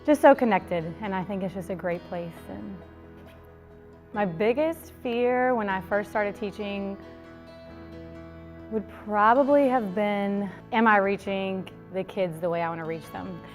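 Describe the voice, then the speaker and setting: A woman's voice: medium pitch, a little slow, faint music behind. Proud, heartfelt woman teacher. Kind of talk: interview testimony.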